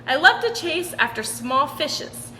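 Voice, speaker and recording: A woman's voice: high voice, animated, unhurried, sharp tone, exaggerated voice. A woman voicing an eager, boastful hunting swordfish in sing-song children's storybook style. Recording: roomy long reverb with background noise.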